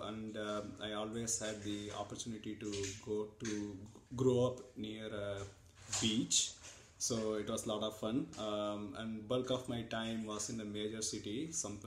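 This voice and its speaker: A man from India, a father answering his child's interview questions in a relaxed, thoughtful way with many pauses and fillers. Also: lowish voice, roomy sound, slight background noise. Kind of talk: casual family interview answers.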